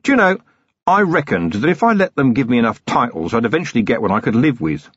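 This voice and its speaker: A man voicing a gruff, weary, middle-aged city police commander, sardonic and full of complaint, for an audiobook. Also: medium pitch, big pitch swings, fast, fairly bright tone, no room sound, dull audio, pitched above the performer's own voice. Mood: grumbling.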